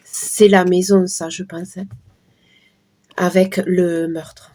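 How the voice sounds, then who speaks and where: Hoarse middle-aged woman, casual card reader, chatty asides. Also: fairly low pitch, little pitch movement, slow pace, mellow. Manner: chatty, talks to viewers while shuffling cards. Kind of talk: casual card-reading livestream talk.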